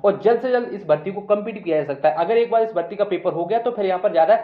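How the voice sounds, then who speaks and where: A man's voice: sits high, fast talker. A man giving an informal, instructive explainer to exam candidates, often repeating himself and checking in. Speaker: exam news video presenter. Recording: roomy echo, dull muffled sound.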